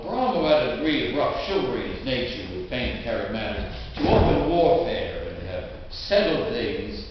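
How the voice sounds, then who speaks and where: A man storyteller reads a classic tale aloud in a dramatic, old-fashioned manner, with emphatic swells and pauses. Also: on the high side. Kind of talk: theatrical live literary reading. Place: inside a historic stone church. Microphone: distant microphone in the room. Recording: echoey church, distant mic, dull, faint noise.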